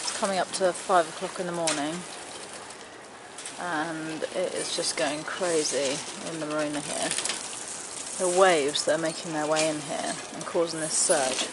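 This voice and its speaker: A woman's voice: deep voice, fairly even intonation, normal speed, background noise. A woman in her thirties with an English accent, chatting and narrating casually as a sailing vlogger on a handheld camera microphone. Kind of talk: casual vlog chat and narration. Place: aboard a small sailing boat outdoors.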